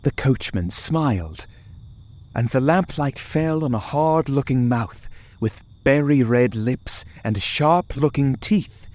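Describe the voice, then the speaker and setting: A man playing an earnest English lawyer's clerk, narrating his journal in measured, dramatic old-time radio style over music. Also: medium pitch, expressive, a little mellow. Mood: ominous, tense. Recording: music and effects under voice.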